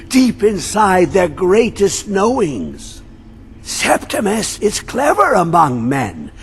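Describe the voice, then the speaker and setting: A man voicing a mad, obsessed old male scholar who talks in riddles and swings between high and low. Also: vocal fry, breathy edge, exaggerated voice. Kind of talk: video game voice acting. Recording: game audio with faint music.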